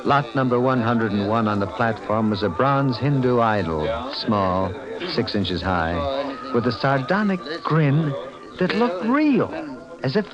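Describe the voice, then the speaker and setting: A man's voice: medium pitch, animated, unhurried, dull audio, lightly acted. Actor playing an intense, obsessed man, swooping delivery. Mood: brooding. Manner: intense, dramatic. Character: obsessed, stubborn male collector narrating his downfall. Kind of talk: 1940s radio acting, first-person dramatic narration. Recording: old radio recording with music behind.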